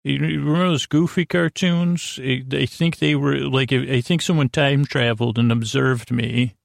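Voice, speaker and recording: A man with a creaky voice hosts a bedtime podcast, rambling in a halting, self-interrupting, goofy and self-deprecating way. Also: medium pitch, fairly even intonation, no room sound, clean studio sound. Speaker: podcast host.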